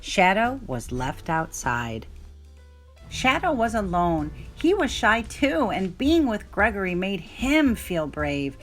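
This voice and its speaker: A woman's voice: mid-range voice, sing-song, slow, faint music behind. A woman reading a children's story aloud as narrator.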